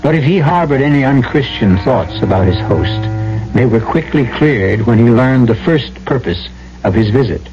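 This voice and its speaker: Male host-narrator for vintage mystery radio: portentous, mid pitch, music beneath, muffled sound. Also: animated, normal speed, darkish tone. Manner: portentous, literary. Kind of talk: scripted old-time radio drama narration.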